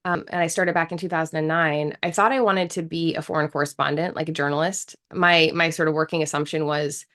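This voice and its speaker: A woman's voice: low voice, steady tone, fairly quick, a bit bright. A woman in her thirties from the United States, a guest speaking earnestly and reflectively in an interview, with frequent restarts. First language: English. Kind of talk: casual interview talk.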